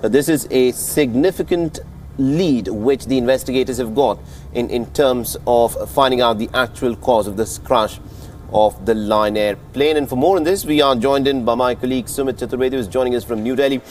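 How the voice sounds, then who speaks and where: A man's voice: mid-range voice, moderate pace, a little mellow, slight background noise. A man anchoring a live breaking news bulletin in a formal, wordy manner.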